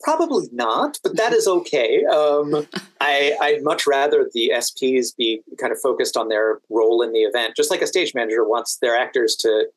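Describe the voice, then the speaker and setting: A man's voice: medium pitch, expressive, normal speed, dry recording, rough recording. A man from Washington, D.C., explaining his work as an interview guest in an articulate, methodical, conversational way. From Washington, D.C., United States. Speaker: podcast guest, exam event manager and educator.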